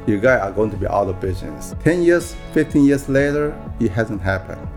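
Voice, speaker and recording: A man in his sixties from Taiwan tells his story as a podcast guest, speaking in a soft, halting way. He pauses and restarts his sentences often. A little music plays faintly underneath. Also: fairly low pitch, animated. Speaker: guest real estate broker. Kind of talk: podcast interview.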